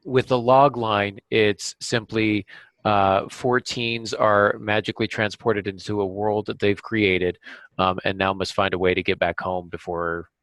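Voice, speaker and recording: A man hosting a writing podcast, explaining things casually in a halting way and often correcting himself. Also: on the low side, level tone, a bit bright, no room sound, rough recording. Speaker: podcast host and novelist. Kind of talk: casual craft discussion with examples.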